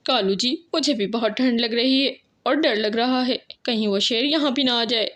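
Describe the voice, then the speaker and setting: A woman voicing a chatty, coaxing, expressive female crow, a wife in a cartoon. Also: fairly high pitch, normal speed, natural voice. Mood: scared. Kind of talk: Hindi cartoon character acting.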